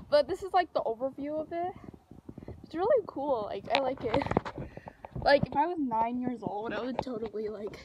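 Giggly young woman vlogging on her phone. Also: high-pitched. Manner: giggly, rambling, many "like" fillers. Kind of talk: casual vlog talk to camera. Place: outdoors by a backyard treehouse. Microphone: phone at arm's length. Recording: noisy phone audio outdoors.

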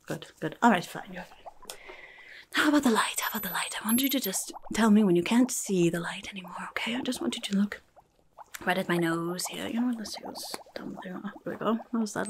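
A woman voicing a rude, impatient goblin clinic helper in a whispery, creaky ASMR roleplay, muttering briskly and sarcastically up close. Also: medium pitch, a little hiss or hum, lightly acted. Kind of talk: ASMR roleplay, chaotic medical exam. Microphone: close-up microphone.

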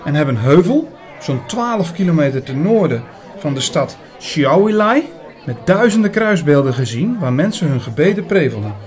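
A man playing an earnest older priest who narrates a radio drama in a measured way, with dramatic pauses. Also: fairly high pitch, sing-song, darkish tone. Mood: thoughtful, eager. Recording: busy chatter behind the voice. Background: busy restaurant crowd.

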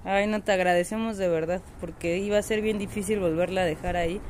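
A woman's voice: fairly low pitch, steady tone, fast talker, darkish tone, a little hiss or hum. A woman, chatting to viewers from an outdoor patio on a phone camera. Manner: chatty and affectionate, talks to viewers. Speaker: animal rescue vlogger. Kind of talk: casual narration on camera.

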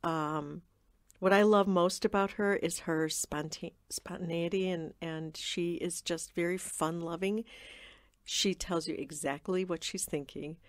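A woman, a podcast guest, telling a warm, laughing family anecdote in casual chat. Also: lowish voice, steady tone, a little slow, dry recording, clean studio sound. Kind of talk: casual storytelling.

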